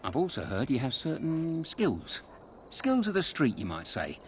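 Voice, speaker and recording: An actor playing a sly, chummy street thief in a video game, cheeky and ingratiating. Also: male voice, a little high, wildly swooping pitch, unhurried, slight background noise. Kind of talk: video game dialogue acting.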